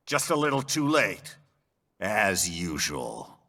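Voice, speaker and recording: An actor playing a smug, bombastic American politician villain in a video game, with a taunting, theatrical drawl. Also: male voice, lowish voice, huge pitch swings, takes it slowly, sharp tone, in a large hall, exaggerated voice. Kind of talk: video game cutscene acting.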